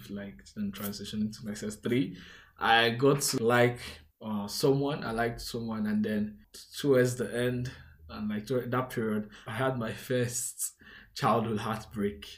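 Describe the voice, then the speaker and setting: A man's voice: mid-range voice, measured pace. A young man from Nigeria with a Nigerian accent, hosting a podcast and telling his own life story in an earnest, conversational way. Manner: earnest, conversational, warm asides and shout-outs. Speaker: podcast host. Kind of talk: personal storytelling monologue.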